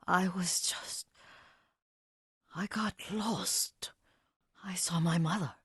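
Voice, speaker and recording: Husky, frail old woman. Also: low voice, no room sound, clean studio sound, full character voice. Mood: confused, weary. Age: older. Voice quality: husky, breathy. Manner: gentle, wistful, slow and halting. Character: frail, devoted elderly Japanese woman caretaker and herbalist. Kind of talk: dramatic video game voice acting.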